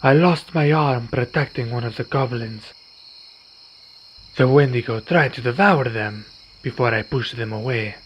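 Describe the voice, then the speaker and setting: A man playing a weary, courteous vampire in an ASMR roleplay, speaking in formal, measured phrases. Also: medium pitch, close to an everyday voice. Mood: solemn recounting. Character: weary, courteous male vampire, wounded but calm. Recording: dull recording with night insect noise. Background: crickets and night insects.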